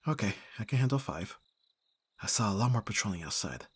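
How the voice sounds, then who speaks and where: A man's voice: on the low side, sing-song, fairly quick, breathy edge, heavily acted. A man playing an abrasive but caring bodyguard in an audio roleplay, speaking with exasperated urgency and sighs. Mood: focused urgency. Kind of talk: ASMR audio roleplay acting.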